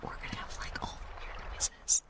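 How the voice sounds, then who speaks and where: A woman hosting an ASMR livestream, whispering breathily and close to the mic in a playful, rambling, apologetic way. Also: moderate pace. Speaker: livestream host.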